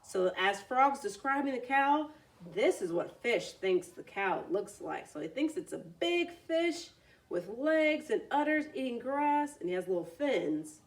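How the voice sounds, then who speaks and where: A woman's voice: a little high, big pitch swings, unhurried, distant mic. A woman reading a children's story aloud expressively, adding simple explanatory asides.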